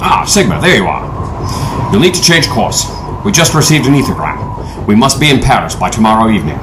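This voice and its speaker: A man's voice: on the low side, very animated, moderate pace, noisy background. A man playing a genial, learned older leader of adventurers in an audio drama, speaking in an erudite manner. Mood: urgent. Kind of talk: audio drama acting and narration.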